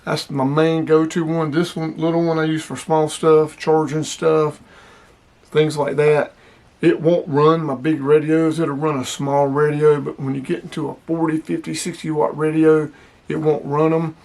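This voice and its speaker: A man's voice: a little high, little pitch movement, moderate pace, gravelly, darkish tone. A man casually demonstrating gear as a hobby vlogger, explaining things in a plainspoken way.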